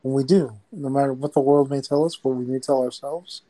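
A male host speaks in a mellow, warm-toned voice, encouraging and affirming in an easygoing chat. He keeps a relaxed, supportive manner throughout. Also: medium pitch, fairly quick, rough recording. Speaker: podcast host.

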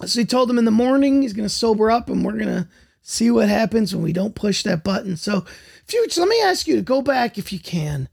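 A man's voice: high-pitched, big pitch swings, brisk, gravelly, darkish tone, husky. A man hosting a podcast, steering a casual discussion of a television show. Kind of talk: casual chat about a TV show.

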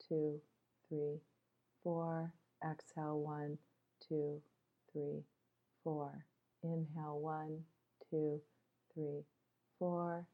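Low slow lady walking you through a breathing meditation, bit muffled lo-fi sound. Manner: measured, instructional, with deliberate pauses. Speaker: meditation guide. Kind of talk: guided meditation.

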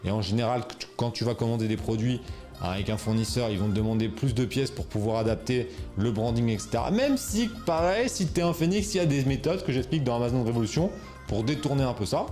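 Male coach, informal and slangy, mid pitch, faint music bed. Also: animated, fast talker, a little mellow. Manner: informal, slangy, many fillers and restarts. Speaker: e-commerce coach. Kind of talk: casual explainer video.

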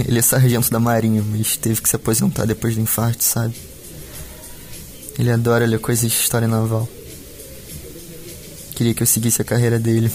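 A worried young Brazilian student acted by a man in an audio drama. He speaks in short colloquial phrases with little rise and fall in pitch. Faint music plays behind him. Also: a little mellow, close to an everyday voice. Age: young adult. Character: worried young film student, devoted son of ailing parents. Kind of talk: naturalistic audio drama acting.

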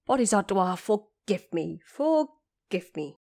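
A woman's voice: medium pitch, very animated, slow pace, creaky, darkish tone, heavily acted. A woman voicing a male pig monster, a reckless brute who turns humble and confessional, in an audio drama story. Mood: pleading.

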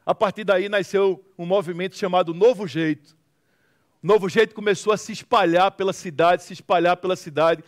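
A man with a Brazilian accent giving a motivational testimony to an audience, telling stories dramatically with emphatic repetition and humor. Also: high voice. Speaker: guest speaker, social movement founder.

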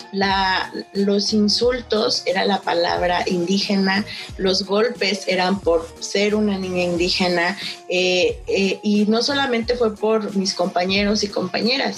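A woman's voice: mid-range voice, rough recording. A young Mexican woman with a Mexican Spanish accent, telling her personal story as an interview guest in an earnest, reflective way, with frequent hesitations. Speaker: guest, founder of a social initiative.

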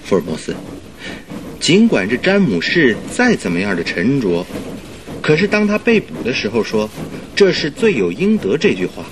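A doubting, highly animated sidekick. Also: male voice, normal speed. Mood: skeptical. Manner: questioning, skeptical. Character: doubting, curious male companion of a detective. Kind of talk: Mandarin radio drama acting. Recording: noisy old radio drama, train rumble behind.

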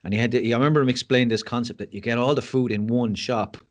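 A man's voice: medium pitch, fairly even intonation, fast talker. An Irish man speaking as a podcast guest, telling his story candidly with frank, self-deprecating humour and lots of fillers and restarts. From Ireland.

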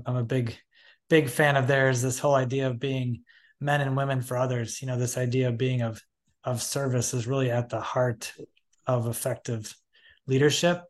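A man from Michigan hosting a podcast, asking long questions in a friendly, conversational way. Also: mid-range voice. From Michigan, USA. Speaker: podcast host. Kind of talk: podcast interview.

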